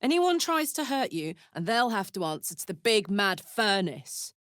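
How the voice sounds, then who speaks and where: A woman playing a big, horned hellspawn warrior in a video game, brash and casual, warm-hearted, playful and hot-tempered. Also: mid-range voice, very animated, moderate pace, no room sound, clean studio sound. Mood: earnest, friendly. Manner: brash, casual, emotionally open. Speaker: video game character voice actor. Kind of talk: expressive video game voice acting.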